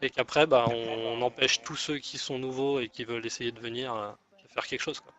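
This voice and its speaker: A man's voice: mid-range voice, fairly even intonation, a little fast, close to an everyday voice. A man playing a deferential gang negotiator in an online roleplay, speaking in a hesitant, rambling, conciliatory way. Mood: earnest, conciliatory. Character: deferential male gang negotiator offering an alliance. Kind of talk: improvised online roleplay dialogue. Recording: muffled lo-fi game voice chat.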